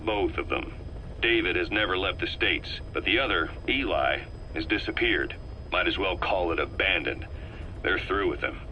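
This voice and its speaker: An actor playing a shrewd, world-weary intelligence operative in a video game cutscene, speaking in a measured, knowing way. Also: male voice, low-pitched, sharp tone, a little hiss or hum, poor audio. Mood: matter-of-fact.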